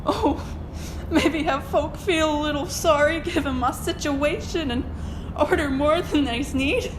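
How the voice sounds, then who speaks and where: A woman's voice: sits high, unhurried, background noise. A woman playing a naive, otherworldly moonshiner in an audio drama, with a pleading manner. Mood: sheepish. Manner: folksy rustic speech, pleading.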